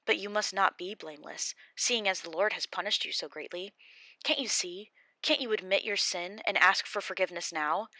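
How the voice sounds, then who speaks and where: A woman reads Bildad, a blunt man who scolds his suffering friend with open contempt. The delivery is scornful and a touch raised. The voice comes through bright and dry, in a close reading. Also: mid-range voice, moderate pace, no echo.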